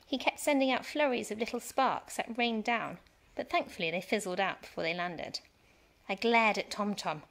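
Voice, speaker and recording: A woman reading a children's storybook aloud as a teacher, with animated, dramatic emphasis. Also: mid-range voice, moderate pace. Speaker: primary school teacher reading story time.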